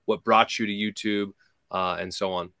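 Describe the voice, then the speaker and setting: A man hosting a casual interview over a video call, relaxed and full of little fillers. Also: lowish voice, normal speed, no room sound, studio quality. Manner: relaxed, filler-heavy, easygoing. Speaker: host. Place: home room. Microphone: video call, webcam.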